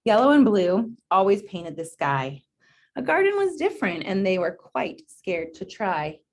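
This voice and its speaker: A woman's voice: medium pitch, very animated, a little slow, a little mellow. A woman reading a children's picture book aloud, expressively, with encouraging chatty asides and questions to her listeners. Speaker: read-aloud presenter.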